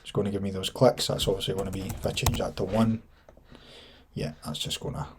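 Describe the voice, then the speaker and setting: A Scottish man in his thirties giving a casual screen-share tutorial, thinking aloud informally with a slightly husky voice. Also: sits low, little pitch movement, fairly quick, background noise. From Scotland. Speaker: online marketing instructor.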